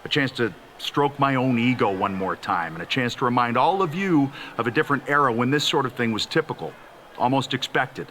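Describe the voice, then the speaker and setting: A man's voice: medium pitch, expressive, brisk, a little hiss or hum, natural voice. A middle-aged man playing a proud, determined veteran champion wrestler in a video game, speaking in an earnest promo style. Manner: earnest, forceful.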